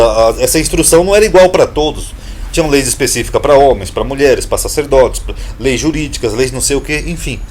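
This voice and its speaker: A man's voice: mid-range voice, a little mellow, slight background noise. A Brazilian man explaining Bible terms in an informal lesson, emphatic and repetitive, asking rhetorical questions. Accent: Brazilian Portuguese. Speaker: Bible teacher, channel host.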